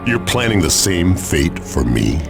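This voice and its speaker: An actor playing a huge, imperious male crime boss in a video game, formal, measured and calculating. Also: big pitch swings, exaggerated voice. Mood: cold, commanding. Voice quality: deep, gravelly. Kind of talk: video game cutscene acting. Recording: loud music behind the voice.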